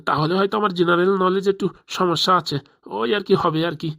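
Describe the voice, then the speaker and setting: A woman's voice: on the low side, steady tone, fast talker, fairly bright tone, no room sound, studio quality. A woman voicing a fawning, gullible middle-aged male politician in a cartoon, wordy and deferential. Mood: flattering, puzzled. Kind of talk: amateur cartoon voice acting.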